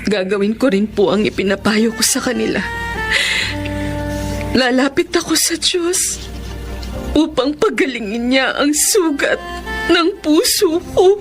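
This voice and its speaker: A woman's voice: high-pitched, lively intonation, a little slow, long pauses, over music. An actress with a Filipino accent playing an emotional, heartbroken wife and mother in a radio drama. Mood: resolute, wistful. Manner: emotional, pleading. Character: heartbroken wife and mother, a psychiatrist betrayed by her husband. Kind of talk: Tagalog radio drama acting.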